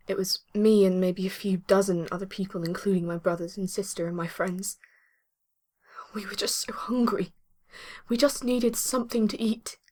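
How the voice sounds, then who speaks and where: A woman's voice: mid-range voice, darkish tone, lightly acted. A woman voicing a weary, injured young female survivor in a story reading, plaintive and earnest.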